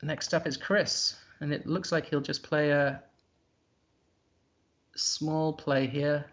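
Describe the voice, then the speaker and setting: Man, live game commentator, gravelly voice, muffled lo-fi stream sound, hesitant musing delivery. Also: a little high, flat delivery, slow pace, a bit bright, a little hiss or hum. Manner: thinking aloud, hesitant, musing.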